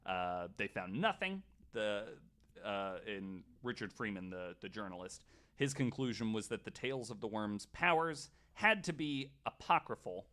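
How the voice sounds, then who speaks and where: A man hosting a podcast, telling stories casually in a halting, conversational way. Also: fairly low pitch, a bit bright. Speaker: podcast host. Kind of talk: casual storytelling and chat.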